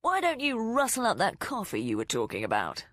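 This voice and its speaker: A woman voicing a calm, menacing male villain who speaks with mocking politeness in an audiobook. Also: a little high, a little fast, no room sound, clean studio sound, pitched above the performer's own voice, full character voice. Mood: taunting.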